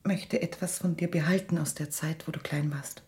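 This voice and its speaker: A woman's voice: deep voice, fairly even intonation, normal speed, darkish tone, lightly acted. An actress in a German radio play voicing a middle-aged mother, guarded, wounded and clinging. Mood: wounded, withdrawn.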